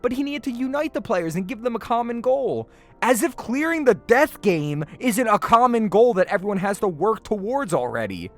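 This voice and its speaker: A man's voice: high-pitched, sing-song, moderate pace, fairly bright tone, soft background music. A man delivering scripted anime review commentary with casual asides, in a sarcastic, exasperated and emphatic manner.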